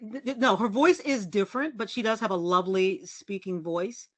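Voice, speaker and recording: Lively, candid woman with a low voice. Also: moderate pace, no echo. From United States. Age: middle-aged. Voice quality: low resonant speaking voice. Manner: lively, candid and self-deprecating, laughs often. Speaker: podcast guest, journalist. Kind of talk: casual storytelling interview.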